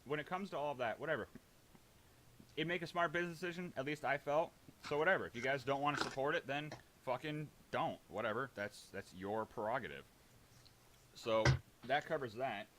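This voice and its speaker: A man's voice: mid-range voice, fairly quick. Male, combative and defensive, profane informal argument, faint hiss on the recording. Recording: hissy background noise.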